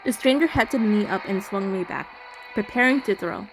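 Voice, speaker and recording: A woman's voice: medium pitch, normal speed, low-quality recording. A woman hosting a game playthrough, reading narration aloud and adding casual commentary. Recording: voice over loud music. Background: game music.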